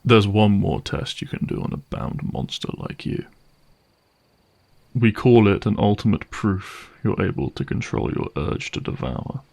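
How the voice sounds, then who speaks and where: A man playing a gruff, cynical vampire hunter for hire in a dramatic audio roleplay, speaking in a wry, sardonic and menacing way. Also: fairly low pitch, a little mellow, exaggerated voice. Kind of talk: dramatic audio roleplay monologue addressed to listener.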